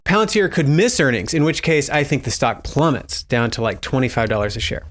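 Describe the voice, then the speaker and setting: A man giving casual, speculative commentary as a video host, thinking out loud and putting questions to his viewers. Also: fairly high pitch. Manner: musing, thinks aloud, poses questions to viewers. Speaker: host of a stock investing video channel.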